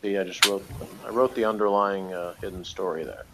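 A man's voice: sits low, brisk. An American man co-hosting a casual review chat, talking in a blunt way. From United States. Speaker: podcast co-host. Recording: clear background noise.

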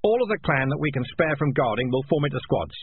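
A man's voice: medium pitch, big pitch swings, quick pace, voice pushed up, heavily acted. Man voicing a fierce rat commander, phone-like audio. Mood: resolute. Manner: urgent, commanding, breathless. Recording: muffled phone-like audio, clear background noise.

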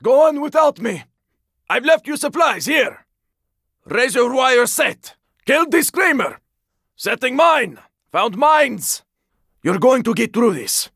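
An actor playing a gruff, battle-worn survivor in a zombie video game, giving terse, intense callouts in a gravelly, raspy voice. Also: male voice, extremely high pitch, sing-song, slow, pauses often, no room sound, studio quality, exaggerated voice. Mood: urgent, alarmed. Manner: terse, intense callouts, often strained or shouted. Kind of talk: video game combat voice lines.

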